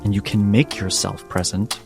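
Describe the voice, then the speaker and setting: A man narrating a tabletop game as game master, deliberate and dramatic, leaving suspenseful pauses. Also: fairly low pitch, little pitch movement, gravelly. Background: background music.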